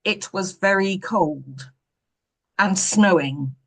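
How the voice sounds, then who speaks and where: A woman voicing a stern, exacting male boss in an audiobook, measured, clipped and pointedly formal. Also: mid-range voice. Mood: sardonic, exasperated.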